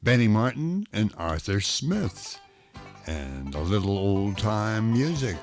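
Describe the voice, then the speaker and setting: A man's voice: fairly low pitch, very animated, slow. A man presenting radio program continuity between vintage recordings. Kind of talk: slow program continuity announcing. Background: music.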